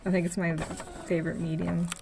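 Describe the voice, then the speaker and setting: A woman's voice: lowish voice, flat delivery, a little fast, vocal fry. A young woman touring her old sketchbooks in a casual, hesitant way, full of fillers, her voice getting tired. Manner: soft-spoken, hesitant, lots of fillers. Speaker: art vlogger. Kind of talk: casual sketchbook tour narration. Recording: voice over background game music.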